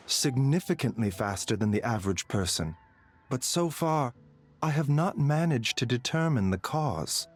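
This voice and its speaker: Polite game doctor, formal and composed, voice moves up and down a lot, light music behind. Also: male voice, medium pitch. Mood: grave. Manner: polite, formal, careful phrasing. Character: courteous, composed male physician, measured and modest. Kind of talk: English game voice acting.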